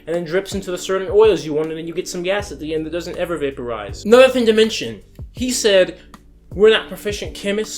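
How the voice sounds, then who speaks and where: A young man hosting a reaction video, talking in a brash, excitable way and swearing freely as he explains what he is watching. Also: high-pitched. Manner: excitable and brash, swears freely, jumps between loud and soft. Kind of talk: casual reaction commentary.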